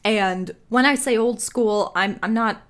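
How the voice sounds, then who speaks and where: Chatty woman interviewer, slightly high voice. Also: measured pace. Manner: chatty, with frequent affirming murmurs. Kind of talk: casual interview talk.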